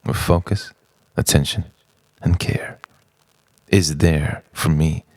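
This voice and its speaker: A man delivering an introspective spoken-word monologue in short, halting, dramatic phrases. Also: a bass voice, steady tone, a little mellow. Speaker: narrator of own written reflection.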